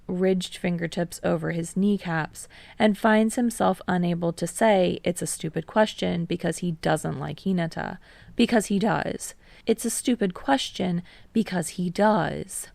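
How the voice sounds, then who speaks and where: A woman narrates a story in a fairly low voice with heavy vocal fry. The delivery is scripted audiobook reading, and the sound is dry with no room echo. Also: darkish tone.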